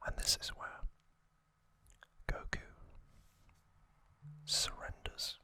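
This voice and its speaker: An ASMR host whispering a recap in a breathy, unvoiced voice, in short soft phrases with long pauses. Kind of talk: whispered ASMR narration recapping an anime series.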